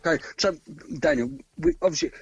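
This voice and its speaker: A man with a croaky voice, asking questions as co-host of a casual music radio interview. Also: very high-pitched, sing-song, a little fast, muffled recording.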